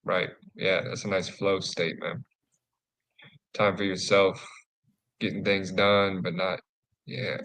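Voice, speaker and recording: A man's voice: low voice, little pitch movement, slow, pauses often, a bit bright, poor audio. A man co-hosting a live men's group talk, laid-back and casual, swearing easily. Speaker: co-host.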